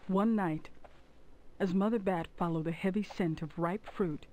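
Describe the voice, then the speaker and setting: A woman narrating a children's storybook in a dramatic, deliberate manner. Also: lowish voice, lightly acted, low-quality recording.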